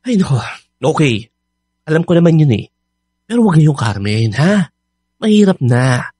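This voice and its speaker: An actor playing a young, evasive and defensive boyfriend in a Filipino radio drama. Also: male voice, fairly high pitch, very animated, normal speed, darkish tone, breathy edge, no echo. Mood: flustered, defensive. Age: young adult.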